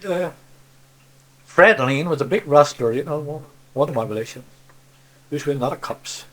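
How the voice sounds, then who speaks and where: A man's voice: fairly high pitch, normal speed, a bit bright. An older man from Cornwall with a Cornish accent, reminiscing casually in rambling stories peppered with "see" and "you know". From Cornwall, England. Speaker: interviewee, local dialect speaker.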